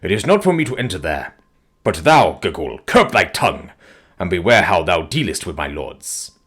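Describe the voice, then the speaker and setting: A man's voice: low voice, sing-song, normal speed, a bit bright, husky, pitched below the performer's own voice, exaggerated voice. A man voicing a proud warrior king who speaks sternly and threateningly in old-fashioned words, for an audiobook. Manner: stern, threatening, archaic speech. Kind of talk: audiobook character voice.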